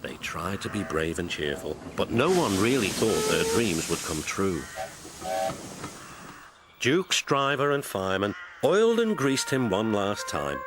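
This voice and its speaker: A man narrating a scripted children's story as a storyteller. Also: fairly low pitch. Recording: music behind the voice.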